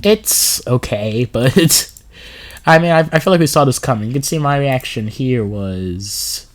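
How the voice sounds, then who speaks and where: A man's voice: medium pitch, expressive, a little slow. Man, sick, sore throat, stuffy, casual gaming commentary, rambling, reading aloud, desk mic. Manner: rambling, with filler words, laughs and restarts. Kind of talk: casual screen-share commentary, reading a blog post aloud.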